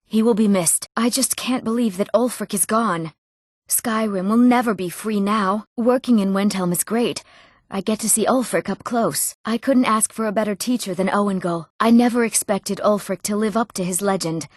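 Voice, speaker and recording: A woman's voice: mid-range voice, moderate pace, no echo, studio quality, close to an everyday voice. Woman as an eager young apprentice smith. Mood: proud, wistful. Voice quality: youthful. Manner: eager, earnest. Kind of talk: scripted fantasy game dialogue.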